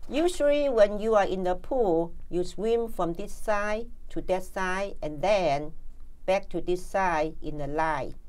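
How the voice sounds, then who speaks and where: Low-voiced woman. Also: a little mellow, rough recording. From Vietnam. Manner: halting, plain phrasing with many restarts and fillers. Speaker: memoir author, guest. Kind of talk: reading aloud, then casual interview answers.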